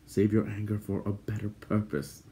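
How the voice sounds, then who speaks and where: Man acting a noble, measured warrior lord. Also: lowish voice, warm tone, natural voice. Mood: gently reproving. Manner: formal, measured, commanding.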